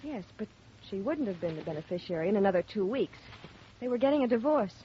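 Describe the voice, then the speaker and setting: An actress playing an earnest, distraught secretary in an old-time radio drama, on a muffled, noisy recording. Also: female voice, medium pitch, lively intonation, quick pace, lightly acted. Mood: subdued, earnest. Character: distraught young female office secretary, earnest and defensive.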